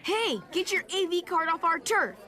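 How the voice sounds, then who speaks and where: A woman's voice: extremely high pitch, animated, moderate pace, bright, forceful tone, faint music behind, heavily acted. A woman voicing a pushy, feisty young school kid in a cartoon fan dub, cheeky and blunt. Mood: defiant.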